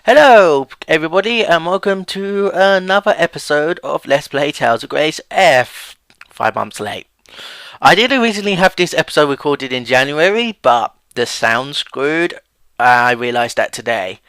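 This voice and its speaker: A man's voice: fairly high pitch, lively intonation, moderate pace, a bit bright, clear, resonant tone, no echo. A young man hosting a let's play with casual, self-deprecating game commentary. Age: young adult. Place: voice-over with game music behind.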